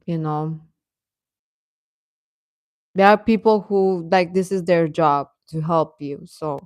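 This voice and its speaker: Woman, lowish pitch, dry room-free sound, livestream host, casual advice-giving chat, hesitant self-correcting delivery. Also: steady tone, slow, darkish tone, no echo. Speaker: livestream host chatting with viewers.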